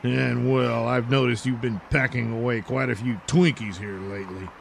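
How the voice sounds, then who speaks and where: Man playing pompous bossy superhero, gravelly voice. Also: medium pitch, fairly even intonation, normal speed, a little hiss or hum. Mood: scheming, matter-of-fact. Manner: bombastic, scolding, self-important. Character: pompous, bossy, self-serving male costumed superhero. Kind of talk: comedic superhero audio play acting.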